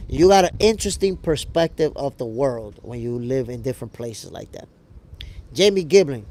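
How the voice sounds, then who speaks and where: An American man with a gravelly voice hosting a casual livestream, talking to viewers in an animated, slangy way. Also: a little high. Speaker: host of a boxing show livestream, reading viewer comments. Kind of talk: casual livestream chat with audience.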